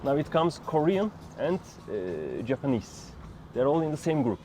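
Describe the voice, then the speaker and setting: Young turkish-accented man, street interview, loose halting answers, faint traffic behind. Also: a little high, sing-song, warm tone. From Turkey. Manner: loose, halting, many fillers.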